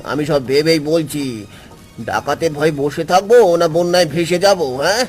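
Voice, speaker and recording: A man as an earnest, high-voiced woodcutter father. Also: brisk, soft background music, natural voice. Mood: urgent. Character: poor, determined woodcutter father protecting his family. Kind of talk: cartoon voice acting.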